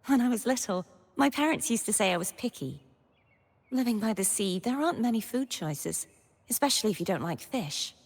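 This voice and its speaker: A gentle young maiden-knight from a fantasy game, speaks in a soft, breathy voice that sits a little high. She is earnest and solemn, choosing her words like a quiet vow. A long reverb trails after her. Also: animated, moderate pace. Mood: wistful, light. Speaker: game character voice actor. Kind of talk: scripted character monologue.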